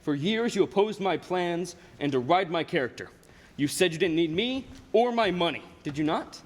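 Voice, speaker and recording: A young man playing a cold, mocking old banker in a radio play, questioning deliberately and with contempt. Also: high voice, lively intonation. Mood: gloating, threatening. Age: young adult. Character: cold, sneering, wealthy old miser banker. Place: stage in a school theater. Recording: reverberant hall with background noise.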